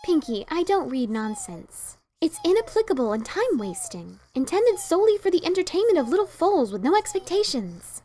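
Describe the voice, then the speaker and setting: A woman's voice: very high-pitched, very animated, moderate pace, hardly any pauses, warm tone, a little hiss or hum. A woman voicing a sensible, scholarly young female cartoon pony, speaking in a dry, scolding, matter-of-fact way. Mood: dry, reluctant. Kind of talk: dramatic reading of a cartoon fan story.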